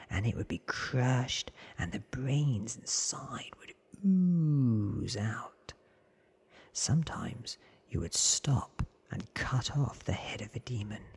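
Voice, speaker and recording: Young male storybook character, read by a man: earnest, awed, slow hushed ASMR whisper, very breathy. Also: on the low side, heavily acted. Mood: grim, earnest. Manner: hushed, drawn-out, earnest telling.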